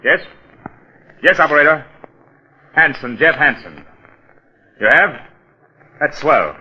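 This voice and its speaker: An actor playing an edgy police detective in a 1940s radio drama, brisk, clipped and commanding. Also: male voice, fairly high pitch, lively intonation, husky, heavily acted. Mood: urgent. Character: urgent, edgy male police detective taking charge. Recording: muffled old-time radio recording.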